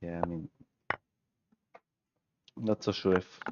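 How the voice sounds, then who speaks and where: A man's voice: sits low, steady tone, muffled recording, background noise. A young man from Switzerland with a Swiss German accent, a native German speaker, thinking aloud as he streams chess puzzles and chats with viewers through a headset at home. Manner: musing, halting, self-correcting, talks to himself. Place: small room at home, indoors.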